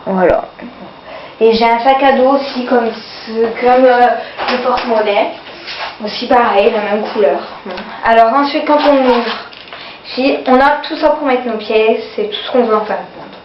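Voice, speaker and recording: A woman with a runny nose and cough talks through her purchases in a halting, casual way. Her voice sits a little high and is caught from a distance on a camera mic. The sound is dull and lo-fi, with a faint hiss in the background. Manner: hesitant, many fillers, keeps saying "voilà". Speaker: vlogger showing sale purchases. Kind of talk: casual haul video. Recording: echoey, distant, dull lo-fi home video.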